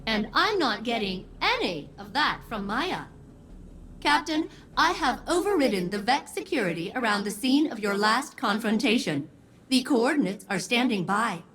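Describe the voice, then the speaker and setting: Precise opinionated game AI, highish voice, wry and formal, swingy pitch. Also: female voice, very animated, normal speed, a bit bright. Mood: defiant, brisk. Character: precise, opinionated female artificial intelligence. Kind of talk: scripted video game acting.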